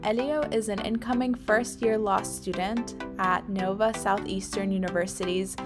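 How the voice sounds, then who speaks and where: A woman's voice: medium pitch, fairly even intonation, moderate pace. A woman hosting a podcast, reading a guest introduction and then asking questions. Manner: brief encouraging backchannels. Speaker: podcast host. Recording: loud music under voice.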